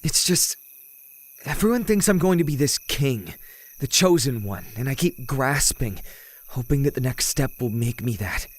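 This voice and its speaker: A man's voice: medium pitch, expressive, normal speed, natural voice. Man playing a young, weary, self-doubting prince. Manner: hesitant, casual, brooding. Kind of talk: audio drama acting and first-person narration.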